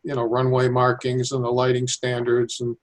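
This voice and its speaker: Man with an even, level delivery. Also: medium pitch, normal speed. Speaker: civil engineer, airport designer being interviewed. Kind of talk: explanatory interview answers.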